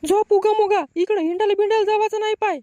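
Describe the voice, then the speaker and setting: Piercingly high nagging old villager. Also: female voice, fairly even intonation, fast, warm tone, no echo. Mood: nagging suspicion. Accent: rural Marathi. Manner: nagging, scolding. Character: suspicious, nagging elderly village woman in a comic cartoon. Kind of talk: comic cartoon dialogue.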